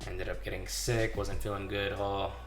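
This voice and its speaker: A man with a lowish voice, still hoarse from being sick, talks casually through his purchases at a garage bench. He keeps it easy and unhurried, with the odd "uh" and "um". Faint music runs in the background. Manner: relaxed, talks through items with fillers. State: hoarse, recovering from illness. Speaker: channel host, knife hobbyist. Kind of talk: casual vlog show-and-tell. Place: home garage workbench.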